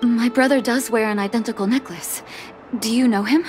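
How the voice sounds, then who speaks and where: An actress playing a composed, determined woman medic and rebel leader in a video game, speaking in a measured, earnest way. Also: a little high, fairly even intonation, music in the background, lightly acted. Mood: wary, calm. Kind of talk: scripted video game voice acting.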